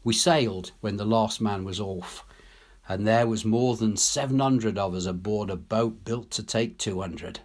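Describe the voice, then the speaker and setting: A man's voice: on the low side, measured pace. A man reading a story as a working-class English soldier, telling his war tale colloquially, wry and awed. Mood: earnest, admiring. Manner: colloquial, ungrammatical, animated storytelling. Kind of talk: dramatic audiobook reading.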